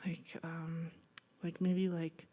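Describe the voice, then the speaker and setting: A woman's voice: low-pitched, monotone, takes it slowly, mellow. A woman in her thirties, from the United States, giving a casual slide-show talk about her paintings, chatty and self-deprecating with many likes and ums. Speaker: guest artist lecturer. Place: lecture room.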